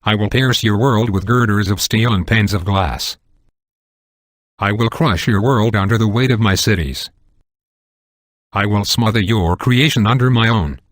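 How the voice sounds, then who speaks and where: Game villain: imperious god of destruction, low voice, pompous, menacing, dry recording. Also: male voice, a little slow, dry recording. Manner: pompous, declamatory. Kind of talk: video game villain acting.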